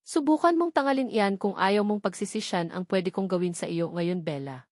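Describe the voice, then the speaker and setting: A woman's voice: mid-range voice, normal speed, darkish tone, no echo, studio quality, close to an everyday voice. A woman voicing a possessive, serious, commanding young male fiancé in a romance audiobook. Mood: angry, controlling. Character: possessive, stern young billionaire fiancé, man.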